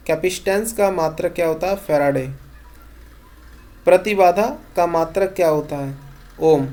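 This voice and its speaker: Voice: mid-range voice, mellow. A teacher reads out revision questions and gives each short answer in an instructional way. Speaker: exam-prep science teacher. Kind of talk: question-and-answer revision lesson read from notes.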